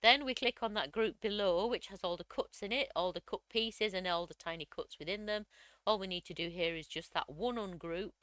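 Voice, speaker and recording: Slightly croaky woman explaining crafts. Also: mid-range voice, normal speed, fairly bright tone, dry recording. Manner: chatty, step-by-step explaining. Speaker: craft tutorial host. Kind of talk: screen-recorded software tutorial.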